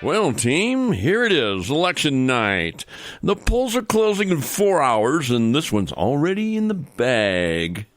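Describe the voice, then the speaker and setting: Male actor as scheming senator, boastful, pompous, very animated intonation, radio comedy, dry studio sound. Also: mid-range voice, slow pace, no echo, soft background music. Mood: smug. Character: smug, corrupt veteran male senator, scheming and self-satisfied. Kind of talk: comedy radio theater acting.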